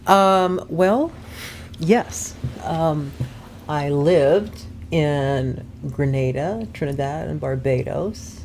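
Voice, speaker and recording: A woman chats openly as an interview guest, her voice carrying a gravelly fry. She fills her thoughts with ums and laughs easily. Faint noise sits under the recording. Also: sits low, extremely slow, darkish tone. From born in Vermont, raised abroad. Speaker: guest, personal development coach. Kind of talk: casual conversation.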